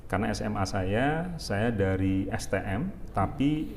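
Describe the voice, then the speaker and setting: Middle-aged Jakarta man, fairly low voice, unhurried and halting, faint hiss behind. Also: animated, creaky, darkish tone. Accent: Jakarta Indonesian. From Jakarta, Indonesia. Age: 40s. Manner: unhurried, halting, many fillers. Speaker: university rector, guest. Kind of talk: casual interview talk.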